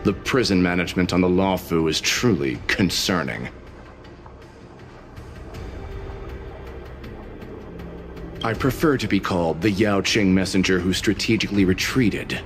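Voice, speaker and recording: An actor playing a blunt, pragmatic messenger and guard in a video game, speaking in a measured, cynical, matter-of-fact way. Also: male voice, low-pitched, faint music behind, natural voice. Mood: measured, dry. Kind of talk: scripted game voice acting.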